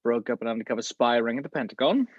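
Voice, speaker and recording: A composed middle-aged gentleman spy, read by a man in a table read, measured and calm. His voice has an average pitch. It comes through a dry, slightly dull home microphone. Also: breathy edge, no room sound. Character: polished middle-aged male spy mentor. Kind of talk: amateur table read over video call.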